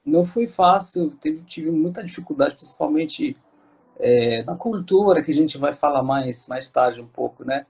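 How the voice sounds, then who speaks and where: A man's voice: a little high, lively intonation, moderate pace, creaky, a little mellow, breathy edge. A Moroccan man speaking with a Moroccan accent, an interview guest talking casually with many fillers and restarts.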